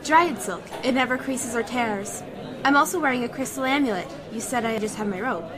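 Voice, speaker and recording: A woman's voice: a little high, fairly quick, close to an everyday voice. A woman playing a proud, composed non-human sorceress in a fantasy audio drama, explaining things in a matter-of-fact, lofty way. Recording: music and crowd chatter behind.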